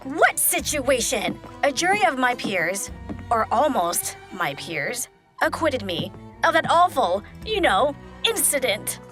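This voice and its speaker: A high, bright-voiced spinster in a comic audio play, husband-hunting with coy gentility. Her delivery swoops theatrically and carries a faint menace. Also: very animated, slow, faint music behind, full character voice. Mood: insistent, genteel.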